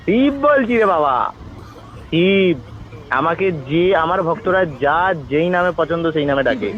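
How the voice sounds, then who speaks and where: A man's voice: a little high, expressive. A man voicing the god Shiva as a bossy, teasing, mock-grand prank caller in an improvised comic phone call. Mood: indignant, threatening. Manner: mock-grand, teasing, scolding, drawn-out words. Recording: muffled, noisy phone call recording.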